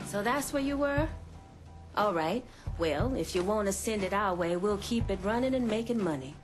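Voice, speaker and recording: A woman's voice: mid-range voice, animated, moderate pace, faint music behind, close to an everyday voice. A woman acting a proud, businesslike criminal underboss in a game, with a pointed way of talking. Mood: cool, accepting. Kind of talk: video game cutscene acting.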